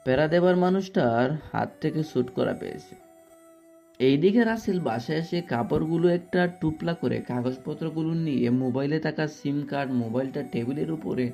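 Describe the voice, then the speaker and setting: Guy narrating a romantic story, warm mellow voice, music playing behind. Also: on the high side, gravelly.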